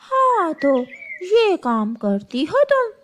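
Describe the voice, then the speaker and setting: A woman's voice: very high-pitched, wildly swooping pitch, slow pace, fairly bright tone, exaggerated voice. A woman voicing a big, bossy female eagle in a children's cartoon, stern, protective and questioning. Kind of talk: children's cartoon story dub.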